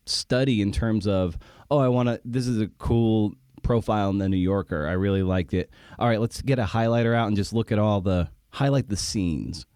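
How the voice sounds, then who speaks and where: A man's voice: on the low side, darkish tone, dry recording, studio quality. A man hosting a casual conversational interview, thinking aloud in a rambling way and repeating words for emphasis. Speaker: podcast host.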